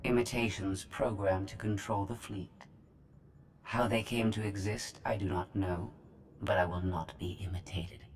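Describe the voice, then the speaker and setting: An actor voicing a cold, calculating droid AI with a filtered, machine-like voice in a game cutscene. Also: male voice, low-pitched, unhurried, slight background noise. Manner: flat, precise, machine-like.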